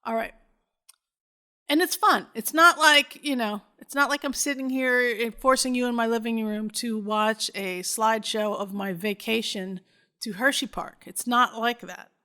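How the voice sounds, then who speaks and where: A woman's voice: on the high side, unhurried, pushed and bright. A woman hosting a podcast, speaking informally, in casual chat or reading her own script aloud. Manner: informal, self-deprecating, sweary. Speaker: podcast host.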